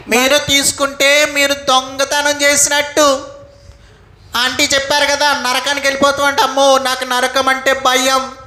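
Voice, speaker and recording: A young boy puppet, played by a woman, with a high, sharp, bright voice. He is naughty but repentant, pleading and confessing like a small child in a Sunday school puppet show.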